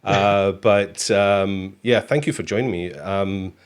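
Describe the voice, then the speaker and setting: Deep-voiced Scottish man hosting. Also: slow pace, smooth. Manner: chatty, joking, many fillers. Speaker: host. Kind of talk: casual livestream chat.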